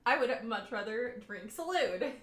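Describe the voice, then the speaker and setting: A woman's voice: on the high side, animated, a little slow, roomy sound. Giggly Southern woman streamer. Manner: chatty and giggly, often laughing mid-sentence. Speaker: live streamer. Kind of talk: casual chat with a live audience.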